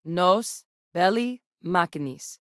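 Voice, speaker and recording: An actress voicing a capable, guarded military scientist in a video game, speaking in a measured, earnest, matter-of-fact way. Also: female voice, fairly low pitch, lively intonation, no echo, studio quality. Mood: measured, businesslike. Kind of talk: video game character voice lines.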